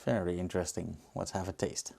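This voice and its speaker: A man with a Belgian accent giving casual, unscripted tasting commentary as a reviewer, pausing to muse and search for words. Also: low voice, little pitch movement, creaky, warm tone. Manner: hesitant, musing, searching for words. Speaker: beer reviewer and host.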